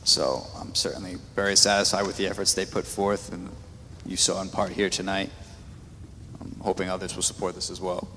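A man speaking as a city councilman at a public meeting, halting with fillers and restarts. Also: sits low, fairly even intonation. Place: council chamber. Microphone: meeting microphone. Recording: echoey chamber, faint noise.

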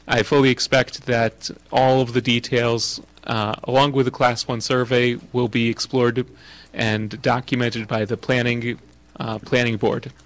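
A man chairing a city council meeting, formal and measured, with frequent pauses. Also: medium pitch, flat delivery. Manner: formal, measured, many uh fillers.

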